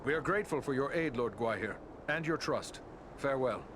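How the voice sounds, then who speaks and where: A man's voice: mid-range voice, lively intonation, fairly quick, background noise, natural voice. A man playing an earnest, respectful hero on a quest in a fantasy game, speaking formally and sincerely. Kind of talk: dramatic fantasy game acting.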